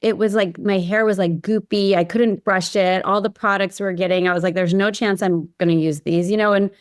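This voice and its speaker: A woman's voice: medium pitch, fairly even intonation, fairly quick, clean tone, dry recording, studio quality. A woman from Hawaii chatting informally as an interview guest, telling her own story with lots of filler phrases. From Hawaii, United States. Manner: informal, peppered with "you know" and "like". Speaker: podcast guest, company founder. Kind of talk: casual chat, recounting own story.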